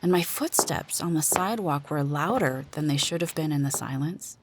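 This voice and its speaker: A woman giving a dramatic first-person reading of a suspense story, with deliberate pauses. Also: low-pitched, noisy background. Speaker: narrator and performer of a story.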